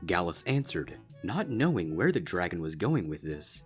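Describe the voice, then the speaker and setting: Low-pitched man narrating a story. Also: very animated, moderate pace, soft background music. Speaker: fan fiction narrator.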